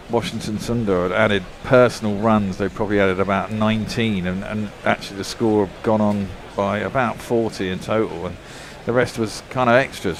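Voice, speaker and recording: A man's voice: on the low side, noisy background. A man commentating on cricket in casual chat, thoughtful and loose with filler words. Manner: thoughtful, lots of "you know" fillers.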